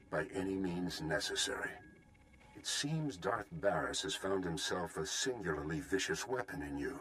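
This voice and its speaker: Deep, stern Jedi Master. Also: male voice, pushed and bright. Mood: defiant, then stern resolve. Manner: measured, formal, defiant. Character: stern, noble male Jedi Master, proud and protective. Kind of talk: video game voice acting.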